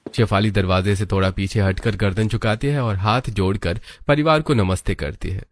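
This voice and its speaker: Low-voiced man narrating a story. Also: moderate pace, a little hiss or hum.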